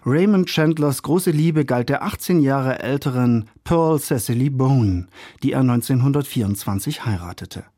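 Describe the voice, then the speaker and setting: A man's voice: mid-range voice, normal speed, mellow, a little hiss or hum. A man reading a scripted radio review in formal, literary phrasing. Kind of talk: scripted radio feature narration.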